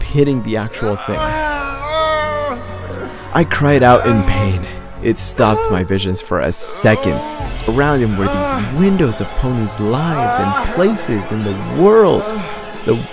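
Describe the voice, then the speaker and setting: A man's voice: mid-range voice, animated, measured pace. A man voicing a tormented, blinded unicorn pony who recounts his past in an intense, dramatic first-person story reading. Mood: agonized, intense. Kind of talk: dramatic reading of fan fiction. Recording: loud music under the voice. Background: prominent background music.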